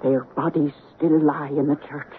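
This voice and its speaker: An actress playing a fearful, superstitious village housekeeper with a Scottish accent, solemn and foreboding in a 1970s radio drama. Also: female voice, deep voice, flat delivery, normal speed, natural voice. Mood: ominous warning. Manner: solemn, foreboding, rustic dialect. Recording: tinny, noisy 1970s radio broadcast recording.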